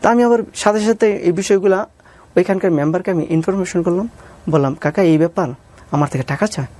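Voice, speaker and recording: A man's voice: high-pitched, fast, dark tone. Bangladeshi man earnestly recounting his life story. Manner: deferential, calls the interviewer sir. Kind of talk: personal storytelling interview with reenacted dialogue.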